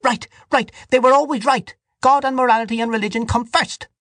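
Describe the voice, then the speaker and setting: A man voicing a fierce, devout older woman in an audiobook, shrill, righteous and outraged. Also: steady tone, brisk, a bit bright, no echo, clean studio sound. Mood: fervent anger. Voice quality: shrill, screaming. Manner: vehement, righteous, scolding.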